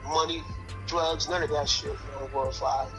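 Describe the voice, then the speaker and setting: A man's voice: fairly high pitch, little pitch movement, fairly quick, over music. Streetwise man in his late fifties. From Connecticut. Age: 50s. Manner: streetwise, fillers "man" and "son" constantly. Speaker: interview guest. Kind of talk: casual prison storytelling.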